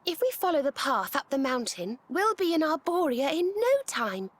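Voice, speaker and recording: A woman with a British accent playing a gentle, polite young magical bard in a video game, sweet and courteous in manner. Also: high voice, very animated, moderate pace. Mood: warm, gentle. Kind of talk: video game character acting.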